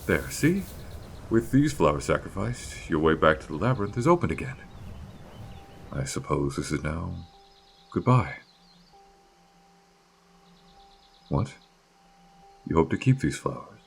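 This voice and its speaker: A man acting in a fantasy audio drama as a gentle, melancholy guardian of a flower field, speaking in measured, halting phrases. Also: extremely low pitch, big pitch swings, vocal fry, noisy background, full character voice. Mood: calm, helpful.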